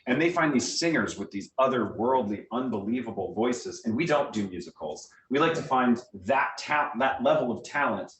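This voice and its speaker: A man's voice: expressive, normal speed, hardly any pauses, fairly bright tone. A man answering a group's questions in a conversational, enthusiastic way, as a guest theater director. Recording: roomy, distant mic, faint rumbling noise.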